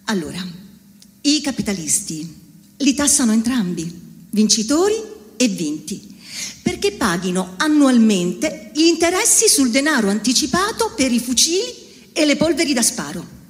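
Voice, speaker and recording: High, animated woman reading dramatically in a hall. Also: moderate pace. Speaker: theatre performer reading a political text. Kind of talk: dramatic theatrical reading of literary prose. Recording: reverberant hall.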